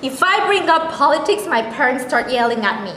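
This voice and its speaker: A woman's voice: high-pitched, very animated, a little fast, bright, forceful tone, full character voice. A woman playing a flippant, frank young woman in a staged reading, speaking in a direct, offhand way. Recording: very echoey hall, faint noise.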